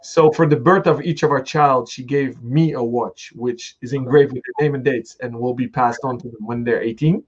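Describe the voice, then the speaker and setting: A middle-aged man hosting a conversational interview, talking in a halting, thinking-aloud way on a slightly lo-fi recording. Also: mid-range voice, steady tone.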